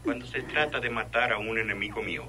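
An actor with a Mexican accent playing a menacing, commanding crime boss in a radio drama, threatening and sardonic. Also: male voice, on the low side, quick pace, pushed and bright. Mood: scornful. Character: menacing male crime boss ordering a killing. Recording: buzzy old radio drama recording.

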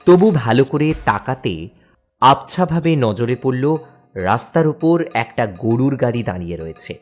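Slow, suspenseful male horror storyteller. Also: mid-range voice, animated. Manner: suspenseful, halting and stammering in tense moments. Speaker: narrator. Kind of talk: dramatic first-person horror story narration.

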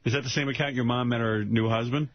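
A man's voice: medium pitch, fairly even intonation, fast. A man hosting a radio show, crudely ribbing a staffer with mock questions. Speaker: radio host. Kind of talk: teasing radio banter. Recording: dull radio broadcast audio.

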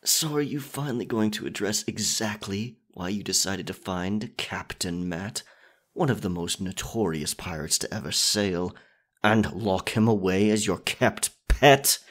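A man playing a captured, proud and defiant pirate captain in an ASMR roleplay, with theatrical old seafaring phrasing and a husky, breathy voice. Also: on the low side, a little slow, fairly bright tone. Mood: wry, weary.

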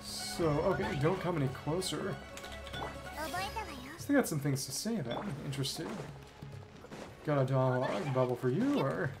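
Female game streamer, low register, animated delivery, thinking out loud over clear background game music. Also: moderate pace, pauses often. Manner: musing aloud, switching between reading and reacting. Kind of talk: live gameplay commentary, reading dialogue aloud.

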